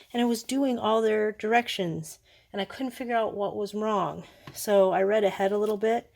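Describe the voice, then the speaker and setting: A woman's voice: mid-range voice, darkish tone. A woman giving a casual, unscripted product demonstration, explaining things step by step in a conversational way. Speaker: product reviewer. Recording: clearly noisy recording with clicks.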